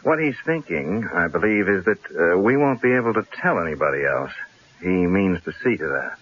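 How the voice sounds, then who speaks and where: An actor playing a cool, shrewd newspaperman in a radio drama, speaking in a measured, dryly sardonic way. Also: low-pitched, big pitch swings, breathy edge, exaggerated voice. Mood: grave calm. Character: cool, shrewd newspaper columnist, stalling his would-be killer. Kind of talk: 1970s radio drama acting. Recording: dull, lo-fi old radio broadcast.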